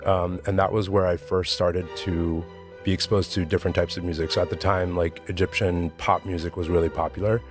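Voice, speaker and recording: A man's voice: deep voice, flat delivery, a little fast, music in the background. An American man from Maryland, a podcast guest, talking reflectively with lots of fillers. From Maryland, USA. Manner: reflective, loose, many fillers. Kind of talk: podcast interview.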